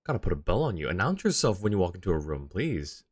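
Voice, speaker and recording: Deep male voice, livestream host, casual game reactions, dry humour, close dry mic, background music. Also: big pitch swings, quick pace, husky, no room sound.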